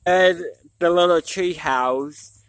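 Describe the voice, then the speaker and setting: Slow-talking higher-voiced young man vlogging outdoors. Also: no echo. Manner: addresses viewers casually as y'all. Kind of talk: casual outdoor vlog chat. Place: outdoors on a nature trail. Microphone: small clip-on lapel mic.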